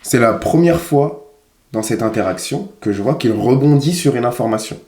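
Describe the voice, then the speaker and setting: A man's voice: medium pitch, lively intonation, normal speed, dark tone, reverberant. A man giving casual, critical commentary as a dating coach, emphatic and repeating phrases for stress. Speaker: dating coach reviewing footage.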